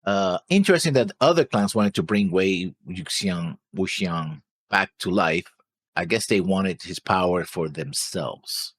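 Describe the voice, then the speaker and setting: A man's voice: sits low, expressive, a little slow, husky, dry recording. A man thinking aloud in a casual discussion, hesitant and full of theories. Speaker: anime reaction show co-host.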